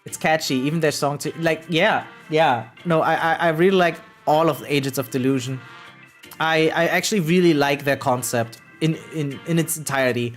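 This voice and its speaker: An excitable gaming streamer chatting casually and slangily on a livestream, swearing freely. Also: mid-range voice, normal speed. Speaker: variety game streamer. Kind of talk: casual livestream chat about gacha games. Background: background music.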